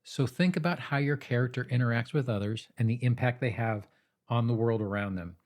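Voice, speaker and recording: Male explainer host, medium pitch, instructive scripted delivery with conversational asides, talks straight to the audience. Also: brisk, darkish tone. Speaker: host of a tabletop roleplaying advice channel.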